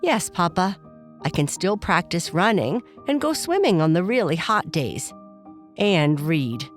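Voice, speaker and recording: A woman's voice: lowish voice, very animated, a little slow, soft background music. A woman voicing a curious, eager little girl bear cub in a children's bedtime story, chatty and childlike. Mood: cheerful.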